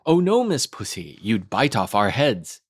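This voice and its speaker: A man's voice: on the low side, very animated, a little slow, no echo. A man voicing tiny merry mice that chant teasing rhymes in a singsong way for a storybook. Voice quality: little, twittering. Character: tiny merry singing mice, taunting a cat.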